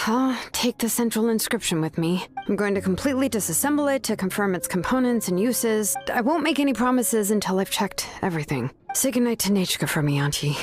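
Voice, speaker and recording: A woman voicing a young, laid-back craftswoman in a video game. She sounds capable and casual, with very mobile intonation and a measured way of explaining, over faint music. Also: medium pitch, animated, natural voice. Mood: firm, reserved.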